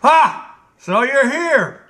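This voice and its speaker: A man doing a shrill raging gnome king. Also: sing-song, drawn-out words, sharp tone, heavily acted. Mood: enraged. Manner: shrieking, stamping tantrums. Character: furious tyrant gnome king, small and shrill.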